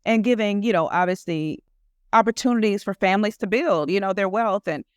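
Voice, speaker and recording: A woman's voice: medium pitch, a little fast, smooth, no room sound, clean studio sound. A woman guest in a casual interview who talks earnestly about her work, rambling and filling her speech with "you know". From United States. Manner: earnest, rambling with many fillers. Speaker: guest entrepreneur.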